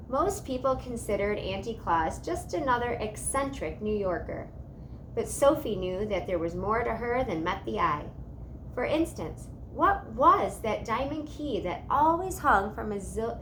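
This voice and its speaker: A woman reading a children's story aloud in an expressive narrating style. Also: medium pitch, a little hiss or hum, rough recording.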